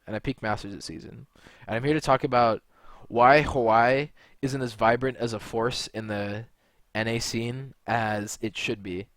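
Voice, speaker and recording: A man's voice: mid-range voice, a bit bright, dry recording. A young man from California talking in a rambling, blunt monologue, full of fillers and restarts. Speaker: video creator and gamer. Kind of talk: unscripted monologue to camera.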